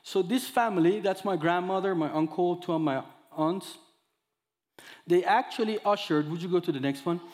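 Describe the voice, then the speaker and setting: A man in his thirties from Iran, speaking English with an Iranian accent, giving an expressive sermon that mixes scripture with his own testimony. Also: high-pitched, normal speed, big-room sound. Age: 30s. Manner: expressive storyteller, acts out dialogue, audience laughter. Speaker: guest speaker.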